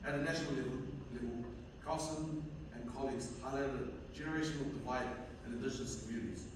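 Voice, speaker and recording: A young man with a Fijian accent presents research at a conference, stumbling here and there as he reads. His voice sits at a medium pitch. He is heard from far off in a heavily reverberant hall with clear background noise. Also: fast. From Fiji. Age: young adult. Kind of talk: conference presentation, partly read from notes. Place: indoor conference room at a university. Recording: echoey hall, distant mic, noisy room.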